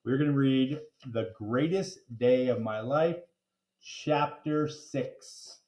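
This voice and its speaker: A man reading a children's story aloud in a playful, expressive way with theatrical emphasis. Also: mid-range voice, takes it slowly. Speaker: reader of children's book. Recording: roomy, echoing room.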